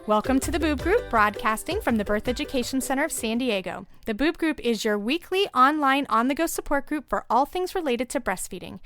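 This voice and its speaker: A woman's voice: sits high, lively intonation, brisk, few pauses, a bit bright, soft background music. A woman hosting a podcast from a studio, opening the show in an upbeat, welcoming way. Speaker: podcast host.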